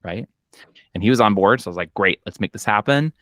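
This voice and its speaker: A man in his thirties from Minnesota with a Minnesota accent, an accountant and business owner telling his story in a casual chat, relaxed and full of fillers. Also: fairly low pitch, quick pace, no room sound, clean studio sound. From Minnesota, US Midwest. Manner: relaxed storytelling with frequent fillers and quoted asides. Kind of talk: casual podcast conversation. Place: home office. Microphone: video call.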